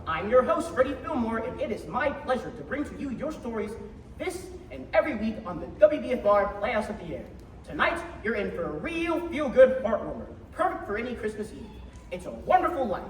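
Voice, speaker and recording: High, animated woman announcing old-time radio. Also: brisk. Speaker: radio show host and narrator, in character. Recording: echoey stage from far off, noisy.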